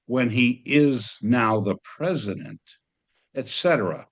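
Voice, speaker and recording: Guy on a video call giving feedback, medium pitch, gushing and emphatic, casual. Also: animated, slow. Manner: effusive, emphatic. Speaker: audience member giving feedback.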